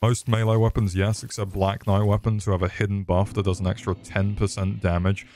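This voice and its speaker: A man's voice: on the low side, flat delivery, a little fast, darkish tone. A young man streaming a game, swearing and joking sarcastically as he plays. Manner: sweary, sarcastic, crude jokes. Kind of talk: swearing live gameplay commentary.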